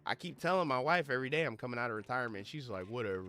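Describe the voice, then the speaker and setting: A young man streaming a game, rambling and swearing as he tells stories in casual chat, his voice a little hoarse from losing it. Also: mid-range voice, very fast. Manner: rambling, profane, animated storytelling. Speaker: gaming streamer. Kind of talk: casual livestream chat while gaming.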